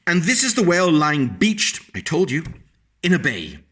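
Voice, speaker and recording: A man reading a picture book aloud to young children, animated and stopping to explain the pictures to his small listeners. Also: a little high, normal speed, background noise.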